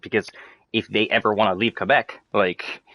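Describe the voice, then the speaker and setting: Deep, fast-talking man ranting casually. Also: level tone, bright, forceful tone, no room sound, rough recording. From French Canada. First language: French. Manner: fast, rambling, swears a lot, many "like" fillers. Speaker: streamer chatting with friends. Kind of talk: casual rant to friends.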